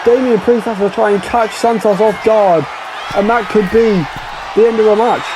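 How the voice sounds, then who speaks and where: A man calling a wrestling match play by play, excitable and often shouting over the action. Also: high voice, normal speed. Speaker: play-by-play commentator. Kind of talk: wrestling commentary. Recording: loud crowd and noise behind.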